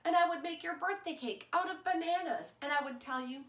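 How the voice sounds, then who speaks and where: A woman voicing a loving, patient mother who answers softly and reassuringly, in a roomy-sounding recording. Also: very high-pitched, a little fast, pitched above the performer's own voice. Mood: tender. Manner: tender, reassuring storybook delivery. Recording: roomy echo.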